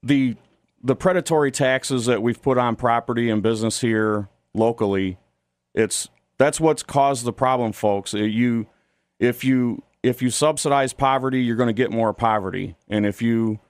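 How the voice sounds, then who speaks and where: A man's voice: mid-range voice, moderate pace, clean tone, no echo. An American man from Ohio speaking as a studio guest in a political radio interview, earnest and critical. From Toledo, Ohio, United States.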